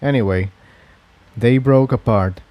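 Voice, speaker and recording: A man's voice: medium pitch, a little slow, darkish tone, no room sound. A man reading a fantasy adventure story aloud as its narrator. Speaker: fan fiction narrator.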